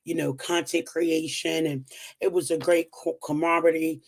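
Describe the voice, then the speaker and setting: A woman hosting a live whiteboard lesson on finances, her voice rough and strained as it comes back after being lost. She talks in a relaxed, chatty way, pausing on "um"s and nudging viewers along with upbeat encouragement. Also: deep voice. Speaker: financial educator hosting a weekly livestream. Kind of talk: casual live-stream teaching at a whiteboard.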